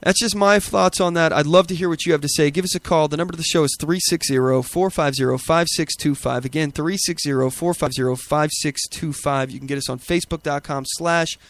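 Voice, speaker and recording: A man with a somewhat high-pitched voice hosts a political talk show. He speaks off the cuff in a talk radio style, leaning on emphatic, rhetorical phrasing. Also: fairly even intonation, fairly quick, hardly any pauses. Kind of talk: talk radio commentary, ad-libbed.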